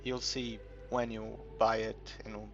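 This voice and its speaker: A man with a fairly low, gravelly voice gives a casual game tutorial at a slow pace. He thinks aloud and rambles hesitantly. The sound is slightly muffled, with music in the background. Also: flat delivery. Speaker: gamer making a game tutorial. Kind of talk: casual unscripted game walkthrough commentary.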